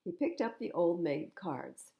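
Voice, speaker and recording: A woman reads a children's story aloud as a storytime host. Her pitch is lively and varied, and she adds conversational asides between passages. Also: measured pace, gravelly. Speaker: library storytime reader.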